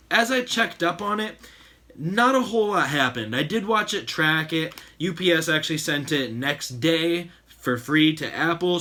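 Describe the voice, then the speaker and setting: A man hosting a casual storytime vlog, talking in a chatty, exasperated way with a voice that is slightly hoarse. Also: fairly high pitch, pushed and bright. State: slightly hoarse, losing his voice. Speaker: channel host.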